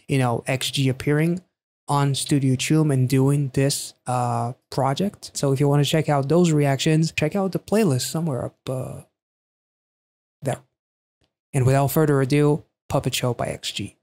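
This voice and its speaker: A man in his thirties speaks at a medium pitch as the host of a reaction video. His commentary is casual and halting, with emphatic stress as he thinks aloud. He is close to a studio microphone in a small indoor space. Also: fairly even intonation, a little mellow. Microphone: close studio microphone on a stand.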